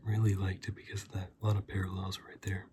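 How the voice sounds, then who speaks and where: A man hosting a casual ASMR review, speaking softly and breathily in an unhurried, rambling way, often dropping into a whisper. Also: low-pitched, completely flat delivery, noisy background. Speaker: host of an ASMR review channel. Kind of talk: soft-spoken ASMR film review.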